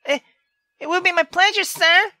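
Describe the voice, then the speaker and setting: Someone putting on a high, timid little girl. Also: normal speed, sharp tone, no room sound, studio quality, exaggerated voice. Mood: shy. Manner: hesitant, timid, stammering. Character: nervous, innocent little girl pianist, earnest and shy. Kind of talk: visual novel character read aloud.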